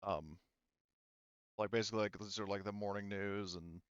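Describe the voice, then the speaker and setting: A man's voice: lowish voice, steady tone, normal speed, smooth, no echo. A man game-mastering a tabletop roleplaying game in an informal, rambling way full of asides, on a slightly muffled recording.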